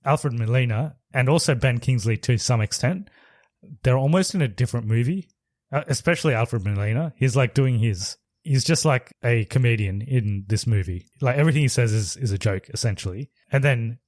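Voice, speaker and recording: A man's voice: mid-range voice, moderate pace, a little mellow, no room sound. A man co-hosting a podcast, chatting casually about films, rambling and hedging with frequent laughs. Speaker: podcast co-host. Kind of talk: casual movie review chat.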